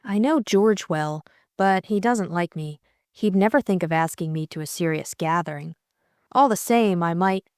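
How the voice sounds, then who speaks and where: A woman voicing a brisk, practical and forthright young society woman in an audiobook, blunt and exclamatory. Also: on the low side, a little mellow, no echo, studio quality, lightly acted.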